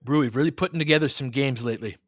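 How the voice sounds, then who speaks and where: A man's voice: mid-range voice, fast, no room sound, studio quality. A man calling live basketball play-by-play in short, clipped phrases, with casual fan-style asides. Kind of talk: live audio play-by-play commentary.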